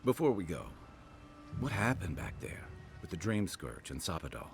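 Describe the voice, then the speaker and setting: An actor playing a wary, plain-spoken adventuring companion in a video game. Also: male voice, low voice, sing-song, normal speed, vocal fry, soft background music, exaggerated voice. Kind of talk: video game cutscene dialogue.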